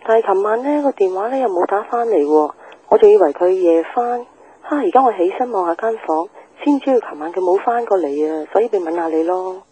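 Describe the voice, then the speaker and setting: A woman's voice: mid-range voice, lively intonation, fairly quick, dark tone, lo-fi sound, a little hiss or hum, lightly acted. A woman playing a worried, chatty middle-aged mother in a radio drama, fretful and questioning, with a Hong Kong accent. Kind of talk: Cantonese radio drama phone scene.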